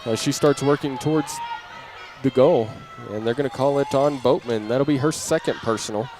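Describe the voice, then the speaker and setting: A man's voice: medium pitch, normal speed, a little mellow. A man doing live audio play-by-play of a basketball game in clipped running phrases that lift on big plays. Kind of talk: live radio-style sports commentary. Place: indoor basketball gym during a game. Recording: clearly noisy live gym audio. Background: gym ambience, bouncing basketball.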